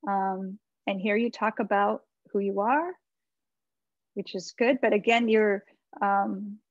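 A woman's voice: mid-range voice, fairly even intonation, slow, no room sound. A middle-aged American woman giving informal, encouraging advice as a webinar presenter, thinking aloud in a conversational way over a video call. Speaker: webinar presenter, website marketing consultant. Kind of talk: informal website critique and advice. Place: small indoor room with bookshelves. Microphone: computer mic on a video call. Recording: slightly dull video-call audio.

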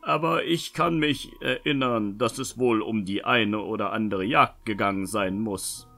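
A man's voice: fairly low pitch, a little slow, sharp tone, soft background music, full character voice. A man playing a polite, evasive young nobleman, speaking formally in a fantasy audio drama. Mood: evasive, careful. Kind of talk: fan-made fantasy audio drama acting.

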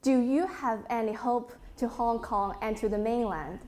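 Young woman interviewer, slightly high voice. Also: a little slow, dark tone. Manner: smooth, prompting, with short mm-hmm backchannels. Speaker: TV interviewer, host. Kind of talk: broadcast interview questions.

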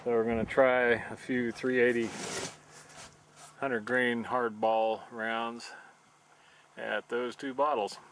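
A man calmly narrating shooting tests at an outdoor range, in a matter-of-fact, plain delivery. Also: medium pitch, slow, pauses often. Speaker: channel host. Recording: noisy outdoor recording.

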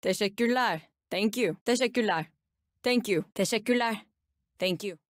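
An actress playing a menacing bounty hunter in a video game, with a Turkish accent and a cool, ominous, confident delivery. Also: female voice, mid-range voice, normal speed, lightly acted. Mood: businesslike. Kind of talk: video game character voice lines.

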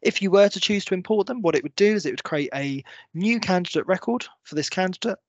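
A man in his thirties presenting a software walkthrough, explaining step by step in a semi-scripted tutorial style. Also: sits high, animated, fast, dry recording, muffled recording. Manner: clear, step-by-step explanatory delivery. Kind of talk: semi-scripted screen-share tutorial narration.

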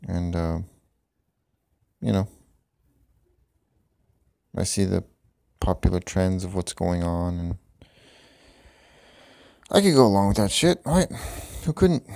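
A man's voice: low voice, creaky. A man talking off the cuff, rambling and swearing, with a voice-over over music. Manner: profane, rambling, laughs at own jokes. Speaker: vlogger. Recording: voice over loud background music.

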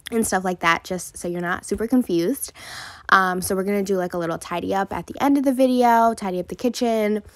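Woman vlogger, medium pitch, dry recording, informal chatty voice-over. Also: fairly quick, dry recording. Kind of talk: casual vlog intro voice-over.

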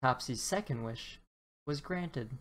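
A woman narrates a children's picture book for bedtime. She speaks slowly and deliberately, in a low register that swoops expressively around key words. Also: animated. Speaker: children's storybook reader. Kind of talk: read-aloud bedtime story narration.

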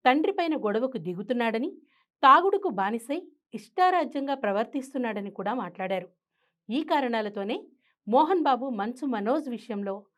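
A woman presenting scripted news commentary. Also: fairly high pitch.

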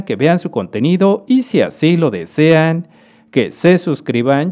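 Male host, fairly high, animated delivery; friendly explainer for screen-capture commentary. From Mexico. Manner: friendly, explanatory, addresses viewers as dear friends. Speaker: YouTube earnings analyst host. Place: voice-over to a screen recording.

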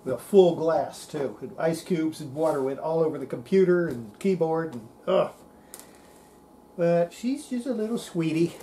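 A woman's voice: low voice, lively intonation, normal speed, warm tone, slight background noise. An older woman giving casual hands-on narration of a repair, murmuring to herself as she works. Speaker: hobbyist restorer presenting a repair video. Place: home electronics workshop, indoors.

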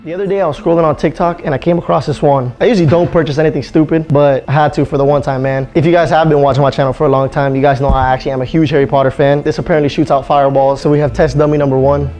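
High-pitched, boisterous young man vlogging. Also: steady tone, racing, hardly any pauses, a little mellow. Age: 20s. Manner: boisterous, slangy, profane, joking. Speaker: prank vlogger, channel host. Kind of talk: casual hyped-up vlog talk.

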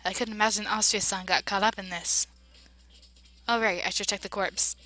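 A woman playing a game, reading its dialogue aloud and reacting with big emotional swings between loud outbursts and hushed narration. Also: medium pitch, steady tone, moderate pace, soft background music, poor audio. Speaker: let's play voice-over player.

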